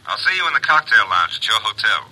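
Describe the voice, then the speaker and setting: A glib American detective's sidekick in an old radio mystery, low-pitched but swinging widely in tone. He is breezy and wry, a wisecracker, over faint vintage hiss. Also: sing-song, fast, bright, forceful tone, full character voice. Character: brash wisecracking male detective's assistant with an eye for women. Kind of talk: 1940s-50s radio acting, first-person narration and dialogue. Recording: noisy vintage radio recording.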